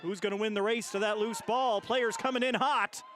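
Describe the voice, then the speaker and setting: A man's voice: high voice, fairly even intonation, moderate pace, a bit bright, a faint crowd. A man calling live play-by-play as a sports commentator.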